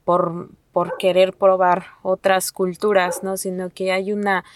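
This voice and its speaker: Hesitant soft-spoken woman, flat voice. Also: fairly low pitch, no echo, studio quality. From Mexico. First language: Nahuatl. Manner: halting, many fillers and restarts. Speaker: asylum seeker. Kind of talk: testimony to an interviewer.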